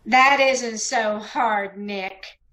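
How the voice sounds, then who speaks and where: A woman's voice: on the high side, drawn-out words, pushed and bright, full character voice. A woman playing a scheming, murderous bride, coy and sugary, in an old-time radio mystery. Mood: flirtatious.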